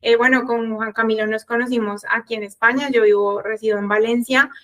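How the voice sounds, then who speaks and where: Casting: woman in her thirties, Colombian accent, fairly high voice, conversational online trainer and coach. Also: steady tone, fairly quick, fairly bright tone. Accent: Colombian Spanish. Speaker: sales coach and consultant. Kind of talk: online workshop presentation, conversational.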